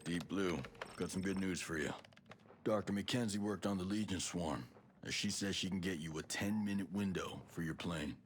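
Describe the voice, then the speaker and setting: An actor playing a gruff, confident middle-aged special forces soldier in a video game. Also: male voice, deep voice, normal speed, a little hiss or hum, lightly acted. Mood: relaxed briefing. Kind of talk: video game cutscene acting.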